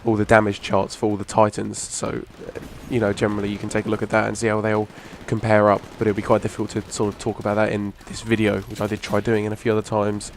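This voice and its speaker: Hoarse young man, low flat voice, rambling game commentary, faint hiss. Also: fast talker, hardly any pauses. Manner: rambling, restarts a lot, casual swearing. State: hoarse from a cough. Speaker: gaming channel host. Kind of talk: casual gameplay commentary.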